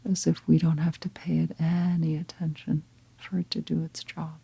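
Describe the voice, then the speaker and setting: A woman leading a guided meditation in a calm, gentle, breathy half-whisper, unhurried and with long pauses. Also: deep voice, no echo. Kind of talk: guided meditation, slow soft-spoken instruction.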